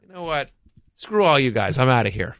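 A man in his forties hosting a casual sports podcast, joking and animated. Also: mid-range voice, fairly quick, dry recording. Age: 40s. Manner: animated, self-deprecating joker. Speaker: podcast host.

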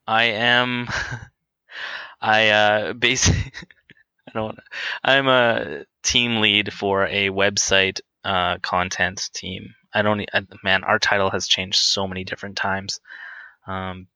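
A man in his late twenties talking candidly and casually as a guest, hesitant and halting, feeling his way through his answers. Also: on the low side, level tone, bright, forceful tone, smooth, no echo, poor audio. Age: twenty-nine. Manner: hesitant, halting, self-conscious. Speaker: interview guest on a podcast.

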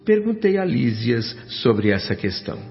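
An actor playing an earnest, astonished newcomer to the spirit world, speaking and narrating expressively in a radio drama. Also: male voice, medium pitch, very animated, normal speed, vocal fry. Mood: wondering, troubled. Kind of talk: radio drama dialogue and first-person narration. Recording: slightly dull band-limited sound with music. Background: music.

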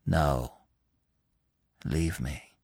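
Man doing a tired little deer boy for a storybook, quiet, weak, totally resigned. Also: very deep voice, steady tone, drawn-out words, gravelly, breathy edge, no room sound, voice pushed down, heavily acted. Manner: soft, serious, quiet and simple. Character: exhausted, resigned young male deer fawn.

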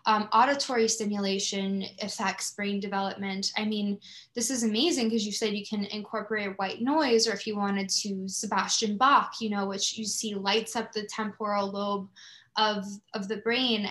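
Affirming woman interviewer with a mid-pitched voice. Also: little pitch movement, moderate pace, fairly bright tone. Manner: affirming, reflective. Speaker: podcast host, interviewer. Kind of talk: conversational interview.

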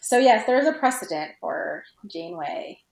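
A woman's voice: on the low side, expressive, normal speed, gravelly, a little mellow. A woman chatting casually as a podcast guest, informal and giggly, thinking aloud as she goes. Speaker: podcast guest, fan fiction writer. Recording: roomy, echoey sound.

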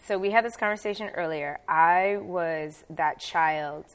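A woman's voice: lowish voice, measured pace. A woman from New Jersey, a dance instructor guest on a podcast, telling long chatty stories and laughing easily. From New Jersey, USA. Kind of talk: casual interview chat.

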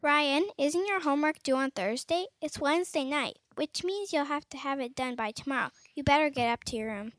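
A woman's voice: high voice, brisk, few pauses, a bit bright. A female performer playing a kindly but firm mother or schoolteacher in school readers' theatre. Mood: firm, unfussed.

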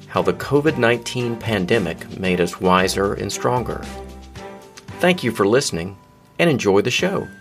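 Male podcast host, somewhat low voice, upbeat, promotional, faint music. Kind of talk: podcast intro and interview.

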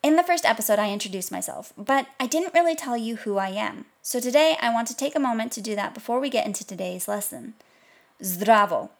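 A woman presenting a scripted podcast language lesson, repeating foreign phrases slowly and clearly. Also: fairly high pitch, lively intonation. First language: English.

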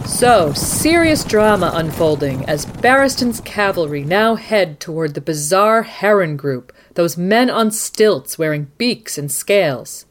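Woman as lively, dramatic news anchor. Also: medium pitch. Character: dramatic female news anchor covering a battle. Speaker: host. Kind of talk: mock live news radio play.

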